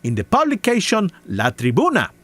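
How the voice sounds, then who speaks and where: A man's voice: sits high, huge pitch swings, normal speed, fairly bright tone. A man with a heavy foreign accent reading a scripted rebuttal, listing claims one by one with scorn. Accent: non-native English. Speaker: commentary video maker.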